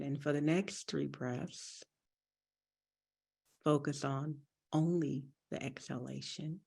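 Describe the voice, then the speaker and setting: A woman guides a breathing meditation in a mellow, husky voice with some fry. She speaks gently and deliberately, giving soothing instructions at an unhurried pace. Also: sits low. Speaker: meditation teacher.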